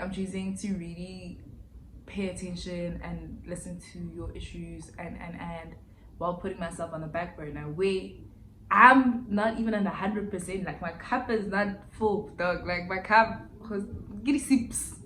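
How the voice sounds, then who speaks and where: A woman's voice: lowish voice, fairly even intonation, unhurried, fairly bright tone. A woman, chatting candidly and reflectively through a casual life update vlog, with slangy asides. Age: 20s. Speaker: vlogger. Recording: echoey room with faint background hiss.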